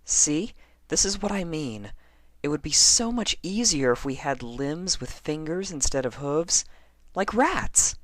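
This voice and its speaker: Casting: male reader as chatty young-sounding female pony in an audio story, high-pitched, lively, argumentative, close dry sound. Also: unhurried, no echo. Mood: excited, exasperated. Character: female pony, chatty, speculative, easily exasperated dreamer.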